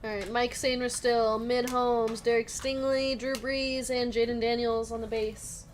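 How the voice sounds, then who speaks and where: A woman's voice: a little high, little pitch movement, a little slow. A woman hosting a live card break in casual chat, laughing often as she reads out players and numbers. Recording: music playing behind.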